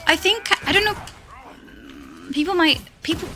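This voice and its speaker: A shy woman with a high voice chatting hesitantly while streaming a game, full of fillers and little laughs. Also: moderate pace, noisy background. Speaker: live streamer. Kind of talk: casual chat while playing a game.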